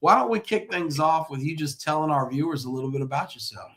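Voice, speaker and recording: Higher-voiced man hosting an interview. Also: expressive, quick pace, a bit bright. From small-town Louisiana, United States. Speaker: show host, interviewer. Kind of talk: conversational local interview show.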